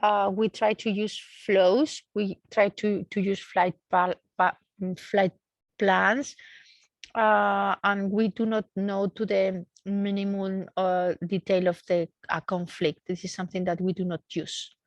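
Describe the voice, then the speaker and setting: A woman's voice: mid-range voice, flat delivery, slow, no echo. A woman research engineer giving a technical explanation in a seminar, searching for words and often repeating them as she goes.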